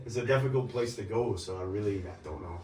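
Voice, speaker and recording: A man's voice: fairly low pitch, quick pace, darkish tone, recorded from a distance. A British arm wrestler talking bluntly, swearing and restarting his sentences. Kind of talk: casual chat. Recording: roomy, from off mic.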